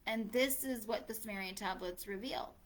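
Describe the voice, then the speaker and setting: A woman's voice: mid-range voice, moderate pace. Earnest woman explaining scripture. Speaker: host of a live audio room. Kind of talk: informal religious talk.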